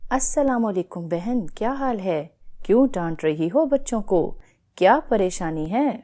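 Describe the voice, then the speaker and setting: A woman playing a calm, sensible mother who reassures and advises a friend in a children's moral story. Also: medium pitch, natural voice. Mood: concerned, soothing. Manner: reassuring, instructive.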